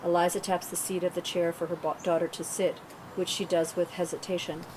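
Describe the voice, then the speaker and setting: A woman's voice: lowish voice, level tone, a little fast. A woman reading scripted stage directions as narrator at a table read, in a noisy room.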